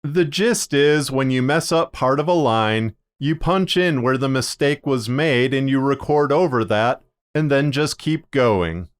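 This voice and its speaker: A man narrating a scripted video as a podcaster, speaking in measured, deliberate phrases with short pauses. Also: medium pitch, animated, smooth. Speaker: podcaster and fiction narrator presenting a video series.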